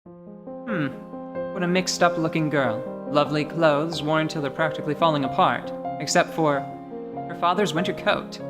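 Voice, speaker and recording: Narrator: a man with a slightly raised voice telling a fantasy tale in a deliberate, dramatic manner, soft music under the voice. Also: fairly high pitch. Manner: measured, dramatic storytelling with musing asides.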